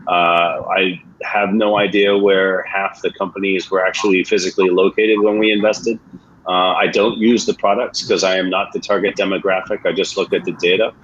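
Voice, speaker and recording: A man's voice: low voice, level tone, normal speed, a bit bright, slight background noise. A man with an American accent, speaking as a venture investor on a webinar panel in a blunt, colloquial way with many filler sounds. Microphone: video call. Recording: muffled video-call audio.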